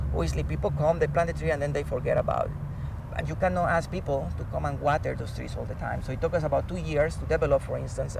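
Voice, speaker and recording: A man from Colombia with a Colombian accent and Spanish as his native language, explaining things earnestly as an interview guest. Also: mid-range voice. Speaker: professor and climate change expert, interview guest. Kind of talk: animated explanatory interview talk. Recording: outdoors, distant mic, clear traffic noise.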